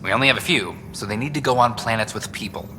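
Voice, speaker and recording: A man's voice: mid-range voice, fast, lightly acted. A man playing a practical space station worker in a video game, speaking to the point. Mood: businesslike. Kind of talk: video game dialogue acting. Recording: reverberant space, faint music behind.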